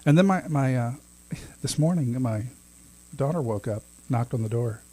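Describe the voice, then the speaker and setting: A man's voice: mid-range voice, expressive, normal speed, mellow, poor audio. A man in his thirties co-hosting a podcast, chatting casually, laughing often and restarting his sentences. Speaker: podcast co-host. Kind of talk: casual chat.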